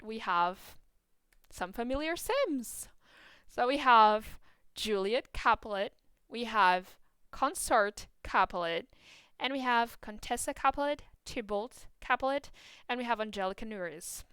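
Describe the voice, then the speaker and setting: Excitable Portuguese-accented woman, very clear voice. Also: mid-range voice, dry recording, clean studio sound. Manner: chatty and excitable, many fillers and restarts. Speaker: gaming YouTuber. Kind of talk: casual chat about a video game.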